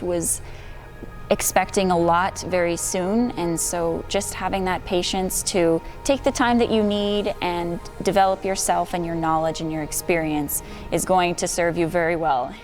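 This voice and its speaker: A woman from the United States, a researcher, giving calm advice in a recorded interview. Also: lowish voice. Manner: calm and advising.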